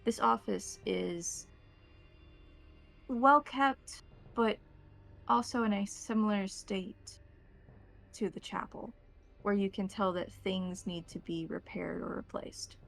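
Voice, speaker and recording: Creaky-voiced American woman game master. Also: medium pitch, fairly even intonation, takes it slowly, pauses often. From United States. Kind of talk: narrating a tabletop roleplaying game.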